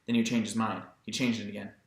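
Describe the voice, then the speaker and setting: A man's voice: fairly low pitch, flat delivery, racing. A man narrating a novel aloud in a dramatized audiobook style. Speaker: amateur audiobook reader. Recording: very echoey room.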